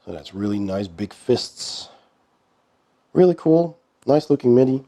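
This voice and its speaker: Hoarse man with a slow, dark voice. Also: lively intonation. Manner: unhurried, low-key describing with many pauses. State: hoarse from a bad cold. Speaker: board game channel host. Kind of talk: casual unboxing commentary.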